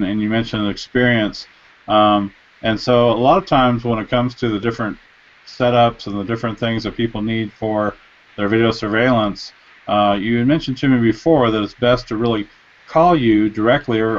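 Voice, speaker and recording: A man in his forties hosts a live web interview from his home office. He stays upbeat and often says "excellent". His voice is low, and the webcam audio is dull and lo-fi. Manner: upbeat host, repeats "excellent". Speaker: host. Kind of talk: live online interview hosting.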